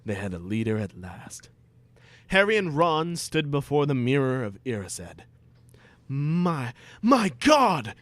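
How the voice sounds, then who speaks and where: A man's voice: medium pitch, sing-song, normal speed, a bit bright, dry recording. A man narrating a comic story as a dramatic reading.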